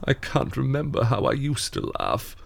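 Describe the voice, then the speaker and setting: An actor playing a glum, world-weary theme park Santa in an audio drama, grumbling sardonically and reluctantly. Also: male voice, mid-range voice, huge pitch swings, moderate pace, gravelly, exaggerated voice. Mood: bitter, resigned. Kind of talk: scripted audio drama acting.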